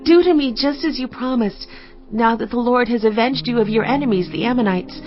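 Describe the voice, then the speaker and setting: An actress playing a devoted, resigned young daughter in a dramatized audio Bible, earnest and pleading. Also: female voice, on the high side, brisk, lo-fi sound, soft background music, lightly acted. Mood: sorrowful acceptance.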